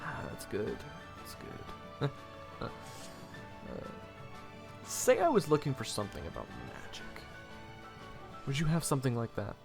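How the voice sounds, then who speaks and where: A man's voice: medium pitch, huge pitch swings, a little slow, creaky, long pauses, music in the background, exaggerated voice. A man playing a flippant, evasive young adventurer in an audio roleplay, wry and casual with awkward hesitations. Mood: sheepish, hesitant. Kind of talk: audio roleplay acting with first-person narration.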